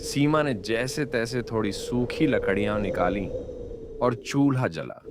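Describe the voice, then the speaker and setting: A man narrating a scripted moral story in a measured, dramatic storytelling manner. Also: medium pitch, lively intonation. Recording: loud background noise.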